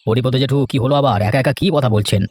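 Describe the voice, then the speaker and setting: A man's voice: mid-range voice, fast talker, darkish tone, slightly rough, close to an everyday voice. A man playing a generous, trusting village nephew in a cartoon, courteous and reassuring in manner. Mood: hospitable. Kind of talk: children's cartoon voice acting.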